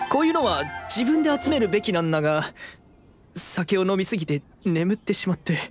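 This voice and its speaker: A man voicing a sheepish, apologetic tavern-loving husband in an anime game, hesitant in his delivery. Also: high voice. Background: music.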